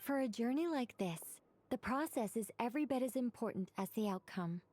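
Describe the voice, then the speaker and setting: A woman's voice: fairly high pitch, animated, fairly quick, a little mellow, slight background noise, natural voice. A woman voicing a knowing angel mage in a video game, chatty and explanatory while trying to stay solemn. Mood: calm, encouraging. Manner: explanatory, rambling, self-correcting.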